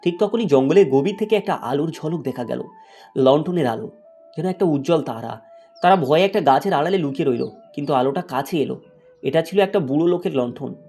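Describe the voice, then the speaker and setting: A man's voice: on the high side, lively intonation, a little fast, warm tone, breathy edge, soft background music. A man narrating a scripted moral fairy tale as a storyteller.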